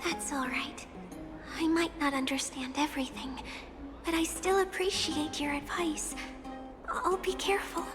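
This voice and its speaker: A woman's voice: high-pitched, steady tone, a little slow. A woman voicing a sweet, innocent little girl in a video game, earnest, polite and admiring. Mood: confused, earnest. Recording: loud music under the voice.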